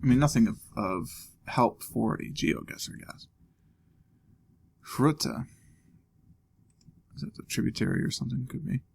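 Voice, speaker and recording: A man's voice: mid-range voice, unhurried, vocal fry, pauses often, slightly rough. A man casually thinking aloud while playing a geography guessing game. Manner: thinking aloud, musing and joking. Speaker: let's play host. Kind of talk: casual gameplay commentary.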